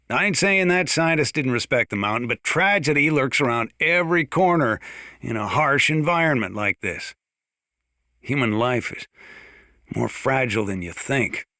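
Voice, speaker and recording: An actor playing an aging small-town mountain sheriff with an American accent, folksy, rambling and dryly humorous, in a video game monologue. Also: male voice, mid-range voice, sharp tone, no room sound, clean studio sound. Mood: somber. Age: older.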